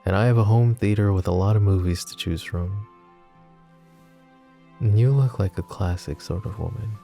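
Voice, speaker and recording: A man playing a flirty, possessive mafia boss who is smitten and vulnerable, speaking in soft, teasing, intimate ASMR roleplay. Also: fairly low pitch, normal speed, faint music behind. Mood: warm, flirty. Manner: soft teasing banter with breathy chuckles.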